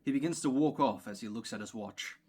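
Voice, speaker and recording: A man's voice: medium pitch, a little fast, darkish tone, husky, natural voice. A man reading scripted stage directions as the narrator of an audio play.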